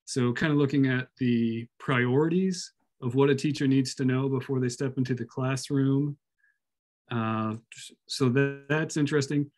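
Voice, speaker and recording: Male grad student, hushed at work, hesitant, fillers, video call. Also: mid-range voice, moderate pace. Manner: hesitant, many "uh" fillers, speaking softly. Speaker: doctoral student. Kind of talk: online class self-introduction.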